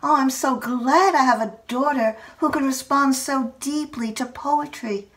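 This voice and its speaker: A woman's voice: high-pitched, a little slow, a bit bright, pitched above the performer's own voice. A woman reading a story voices a joyful, affectionate ninety-year-old mother with effusive delight. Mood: thrilled.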